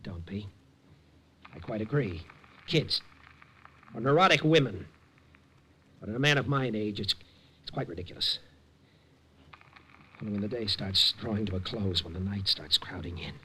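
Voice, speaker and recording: A nervous man afraid of the dark. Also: fairly low pitch, husky, exaggerated voice. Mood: haunted. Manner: nervous, halting, breaks into panic. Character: frightened middle-aged man haunted by darkness. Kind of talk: 1950s radio drama acting.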